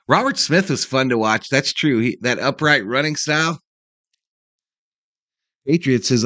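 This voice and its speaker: A man's voice: mid-range voice, no room sound. A man hosting a sports livestream, giving casual play-by-play and chatting with fans in a folksy, informal way. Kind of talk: casual play-by-play commentary and fan chat.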